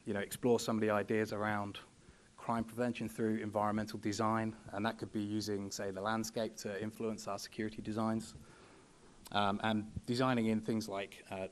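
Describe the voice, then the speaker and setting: A young man speaking from a podium at a conference panel, measured and full of ums and you-knows. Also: lowish voice. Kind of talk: presentation at a podium. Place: large conference hall. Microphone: podium microphone.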